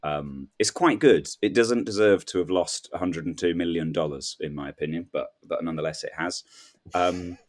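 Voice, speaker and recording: A man's voice: deep voice, moderate pace. A man chatting casually as a guest on a comedy podcast, telling whimsical, rambling anecdotes and acting out little quotes. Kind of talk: casual comic chat.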